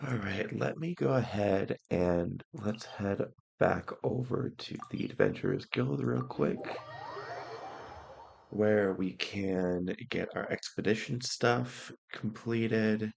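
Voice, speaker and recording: A man hosting casual let's-play commentary, hoarse from illness, laid-back and clearing his throat often. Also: on the low side, slow, a little mellow. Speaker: gameplay host.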